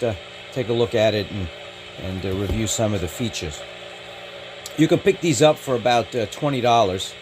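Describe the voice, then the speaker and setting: A man's voice: on the low side, background noise. A man giving a casual hands-on product review in a matter-of-fact way, listing details in short clipped phrases. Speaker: radio hobbyist reviewer. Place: indoors.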